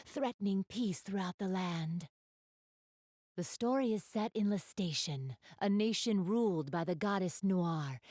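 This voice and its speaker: A woman's voice: medium pitch, dark tone, dry recording, studio quality, lightly acted. A woman playing an elegant young goddess in an anime video game, speaking to the player with polite, genteel, formal diction and a keen gamer's refinement. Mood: dramatic narration.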